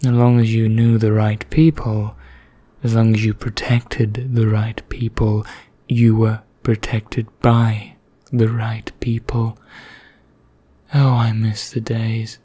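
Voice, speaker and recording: A man playing an ancient, haughty vampire knight in an ASMR roleplay, speaking softly and half in whispers, formal and measured with deliberate pauses. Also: lowish voice, level tone. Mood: wistful, disdainful. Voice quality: soft, breathy, half-whispered, husky. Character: ancient male vampire knight, haughty, languid, darkly courteous.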